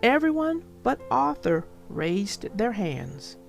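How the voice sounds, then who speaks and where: A woman narrating a storybook for young children in an expressive, deliberate way. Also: a little high, gravelly, faint music behind.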